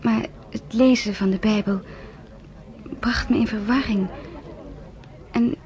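A woman's voice: fairly high pitch, steady tone, takes it slowly, darkish tone. An earnest teenage girl. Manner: earnest, hesitant, stumbling over words. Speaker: radio drama actress. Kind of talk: radio play narration and acting.

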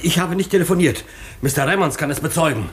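An actor playing a nervous, deferential manor butler in a 1950s German radio play, hesitant and formal. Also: male voice, fairly high pitch, lively intonation, fast, echoey room, lightly acted. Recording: 1950s radio recording with hiss, clicks.

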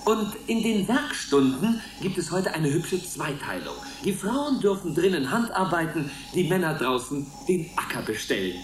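A man's voice: a little high, big pitch swings, moderate pace, few pauses, echoey room, slight background noise. A man acting in a radio play as a self-satisfied, falsely cheerful minder of a futuristic colony, with a sing-song officious manner. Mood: fussy, commanding. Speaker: activity supervisor.

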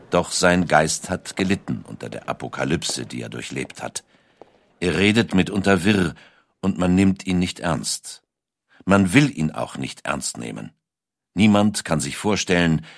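A man narrating a scripted historical documentary in a measured, deliberate storytelling manner with dramatic pauses. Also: sits low, no room sound.

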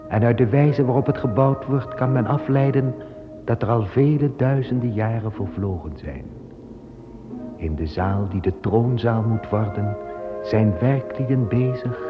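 A man voicing a calm, solemn male angel guarding a paradise garden, speaking slowly and with measure in an old radio play. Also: mid-range voice, lightly acted. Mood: narrating vision. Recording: dull old radio play recording with music.

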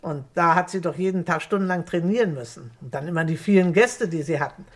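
A woman's voice: on the low side, fast, natural voice. A woman acting a garrulous, good-natured housekeeper in a crime radio play, talking in a homely, folksy way. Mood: chatty, amused.

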